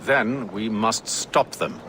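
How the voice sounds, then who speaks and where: An actor playing a resolute, authoritative leader who gives terse orders in a video game. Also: male voice, fairly low pitch, slow, noisy background. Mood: stern. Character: commanding, resolute male leader giving orders. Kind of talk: video game cutscene acting.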